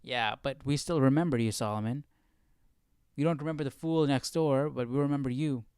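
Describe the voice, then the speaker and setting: A man's voice: medium pitch, fairly quick, darkish tone, smooth, no room sound, studio quality. A man hosting a livestream study, reading and commenting aloud in a casual, conversational way, often checking in with the listener. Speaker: host of a livestream Bible study.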